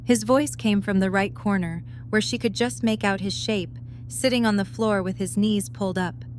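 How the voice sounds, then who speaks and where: A woman's voice: medium pitch, fairly even intonation, normal speed. A woman reading scripted third-person narration for an audio drama. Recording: clear background noise with hum.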